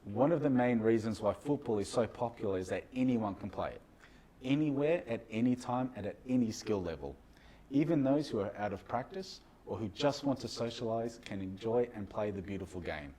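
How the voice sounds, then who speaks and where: Husky, low-voiced male radio host. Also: lively intonation, a little fast, warm tone, rough recording. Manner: scripted introductions. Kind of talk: radio show presenting.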